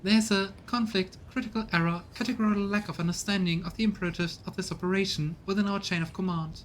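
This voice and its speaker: A man's voice: fairly even intonation, quick pace, natural voice. A man voicing a cold cyborg tech-priest in a video game, with formal, clinical, mechanical phrasing. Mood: clinical, stern. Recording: rumbly background noise.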